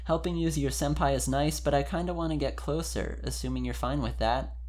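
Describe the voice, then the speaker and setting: A man's voice: fairly high pitch, fairly quick, a little mellow, natural voice. A man voicing an earnest anime girl nervously confessing love in a fan fiction reading. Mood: earnest, tender. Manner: earnest, slightly hesitant.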